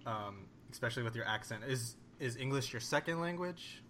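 A man hosting a casual interview, upbeat and quick to laugh. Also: mid-range voice, lively intonation, fairly bright tone. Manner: enthusiastic, laughs often. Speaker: interviewer host.

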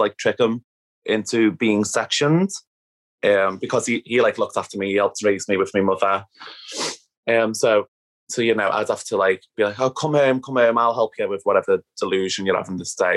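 A woman from Liverpool with a thick Scouse accent, talking candidly and casually as an interview guest. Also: very deep voice. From Liverpool, England. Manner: candid, peppers speech with "like". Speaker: podcast guest, activist. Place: at home.

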